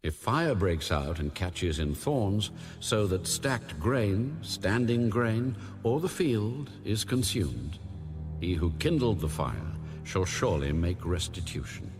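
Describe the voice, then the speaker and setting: Male scripture narrator, low-voiced and solemn, with expressive intonation over a clear music bed. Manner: solemn, measured, formal. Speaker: audio Bible narrator. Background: music under the voice.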